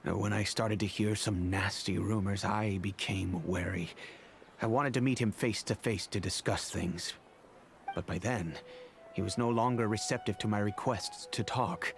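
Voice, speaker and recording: An actor playing an earnest, remorseful, wealthy middle-aged father in a video game, speaking in a measured, formal way. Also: lowish voice, steady tone, vocal fry, slight background noise. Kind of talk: video game character acting.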